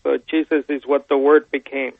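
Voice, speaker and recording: Guy calling into radio, gravelly, keeps pressing questions, thin echoey phone sound. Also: medium pitch, flat delivery, moderate pace. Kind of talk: conversational questioning. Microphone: telephone. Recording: narrow, roomy phone line on radio.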